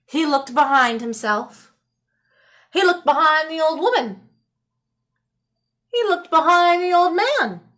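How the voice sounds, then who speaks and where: A woman reading a children's story aloud in a dramatic, expressive style with deliberate pauses. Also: high-pitched. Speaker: storybook reader. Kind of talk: animated children's read-aloud.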